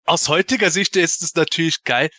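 Bright, forceful man, fairly high. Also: fairly quick, no echo. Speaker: podcast panelist. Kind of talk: lively casual presenting to listeners.

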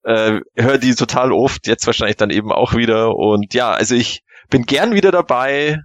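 A man chatting casually as a guest on a podcast, with a halting, hesitant way of talking. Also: mid-range voice, no echo.

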